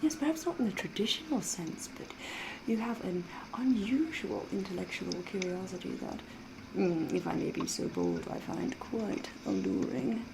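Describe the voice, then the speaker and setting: Woman acting breathy, flirtatious vampire lady, noisy ambience. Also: medium pitch, very animated, moderate pace. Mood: flirtatious, intimate. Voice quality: breathy, often whispering. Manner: genteel, old-fashioned, formal phrasing. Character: flirtatious, mysterious vampire woman posing as a genteel villager. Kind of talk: whispered ASMR roleplay.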